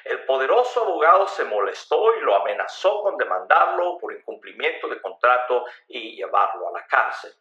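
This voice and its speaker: A man reading a scripted story in a measured, dramatic storytelling way with emphatic phrasing. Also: medium pitch, sing-song, sharp tone, lo-fi sound. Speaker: narrator of a radio story. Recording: roomy, echoey voice recording.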